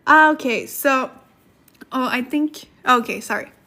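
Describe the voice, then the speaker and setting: A woman's voice: high-pitched, unhurried, sharp tone. A woman hosting a casual language study livestream, chatting with viewers in a giggly way and repeating herself for emphasis. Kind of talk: casual livestream chat and lesson, reading viewer comments.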